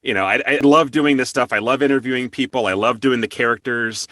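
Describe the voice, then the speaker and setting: A man's voice: mid-range voice, a little fast, a bit bright, no room sound. A man hosting a casual interview, chatting in an effusive, enthusiastic way. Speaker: interview host.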